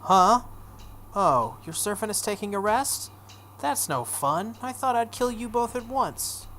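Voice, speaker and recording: A playful, sweetly menacing young girl villain from a visual novel game, acted out by a woman. The voice sits higher and has a childlike, polite singsong, with some noise behind it. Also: big pitch swings, unhurried, a bit bright. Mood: disappointed.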